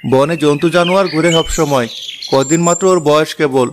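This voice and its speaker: Man as a high-voiced, kindly woodcutter. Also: a little fast, background noise, natural voice. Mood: caring. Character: kindly, protective young father, a forest woodcutter. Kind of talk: Bengali fairy-tale cartoon voice acting.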